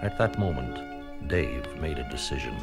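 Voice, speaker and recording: A man's voice: rumbling low, lively intonation, unhurried, creaky. A man narrating a children's read-along story in a dramatic, scripted storytelling style. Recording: music under the voice.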